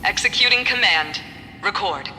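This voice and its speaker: An actor playing an impassive machine in a video game, reciting commands in clipped, procedural phrases. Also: male voice, sits high, expressive, moderate pace, bright, forceful tone, exaggerated voice. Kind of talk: scripted video game voice acting. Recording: long reverb over music. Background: music.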